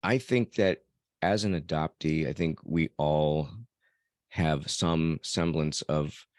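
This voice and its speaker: A man speaking as an interview guest, reflecting on personal matters in a measured way and pausing mid-phrase. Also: a bass voice, steady tone, no echo, clean studio sound. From born in El Salvador, raised elsewhere by adoptive parents. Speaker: podcast guest.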